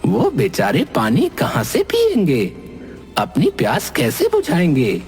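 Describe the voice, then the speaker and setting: A man's voice: on the high side, huge pitch swings, a little slow, a little mellow, slightly rough, exaggerated voice. A man voicing a friendly, indignant cartoon ghost with an earnest, dramatic, pleading way of talking. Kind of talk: animated cartoon character acting. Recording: music bed under the voice.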